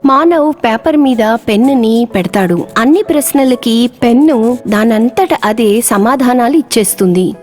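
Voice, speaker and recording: A woman narrating a children's cartoon storybook, her voice fairly high. She tells it in a measured way over soft background music.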